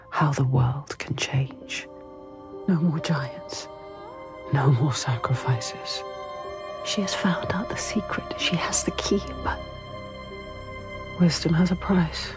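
A knowing female spirit narrating a dark video game. She speaks low and very slowly in a creaky half-whisper, grave and intimate. Music swells clearly behind her. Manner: slow, grave, deliberate.

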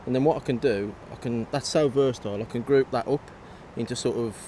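A man's voice: medium pitch, quick pace. Angler explaining his rig. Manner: relaxed, detailed walk-through. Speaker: match angler guest. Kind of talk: casual explanation of fishing tackle. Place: outdoors on a riverbank. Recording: outdoor recording, faint noise.

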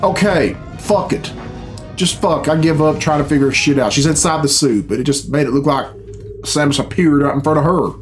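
Blunt man reacting over loud music. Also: a little high, normal speed. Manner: blunt, grumbling, swears freely. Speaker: reaction video host. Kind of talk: casual reaction commentary. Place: small furnished room at home, indoors. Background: loud game cutscene music.

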